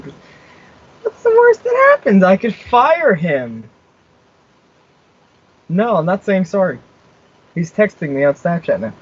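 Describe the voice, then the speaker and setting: A man's voice: on the high side, husky. A young man hosting an internet radio show in casual chat, joking, rambling and tripping over his words with bursts of laughter. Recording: muffled lo-fi stream recording.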